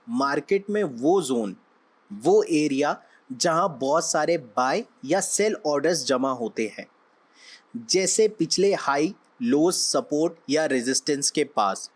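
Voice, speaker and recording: A young man, slightly hoarse, teaching a trading lesson in a conversational way, often checking in with his listeners. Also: a little high. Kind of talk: explaining lesson with analogies.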